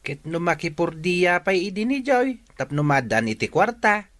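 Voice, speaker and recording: A man's voice: high voice, lively intonation, normal speed, a bit bright, no echo. A man acting a hearty, generous middle-aged uncle in an Ilocano radio drama, with an Ilocano accent. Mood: gossipy.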